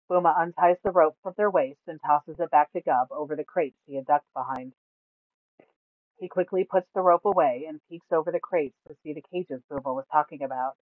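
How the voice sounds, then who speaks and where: A woman storyteller reading scripted fantasy narration aloud in a measured, dramatic way. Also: sits low, no room sound. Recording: narrow, phone-like sound.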